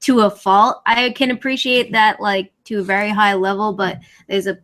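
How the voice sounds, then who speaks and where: A woman's voice: mid-range voice, little pitch movement, moderate pace, a bit bright. A woman on a group video call, giving her opinions in a candid, casual way. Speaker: call participant.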